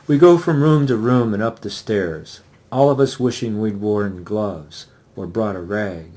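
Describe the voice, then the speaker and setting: Casting: male author-reader for first-person literary fiction, slightly low register. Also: a little slow, mellow. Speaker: author reading aloud. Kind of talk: dramatic reading of a first-person novel.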